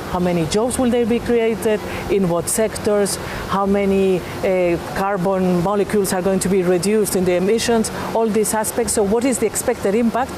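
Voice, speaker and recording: A woman methodically explaining a process step by step in an interview answer. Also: medium pitch. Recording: background noise.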